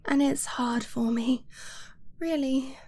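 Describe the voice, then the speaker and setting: Slow, soft, halting girlfriend confiding , acted by a woman. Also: sits high, steady tone, husky, heavily acted. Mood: tender, hesitant. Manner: soft, halting, intimate, often dropping to a whisper. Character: affectionate, earnest dragon-blooded girlfriend confessing a secret. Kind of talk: ASMR romance roleplay.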